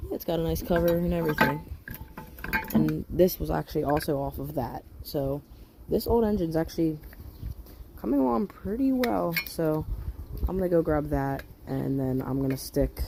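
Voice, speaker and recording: A man's voice: fairly high pitch, gravelly. A young man chatting casually as he repairs a small engine, rambling and correcting himself in slang, recorded on a phone outdoors and then in a shed. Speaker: hobby mechanic vlogger. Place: outdoors on a deck in light rain, then a shed. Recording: noisy home phone recording.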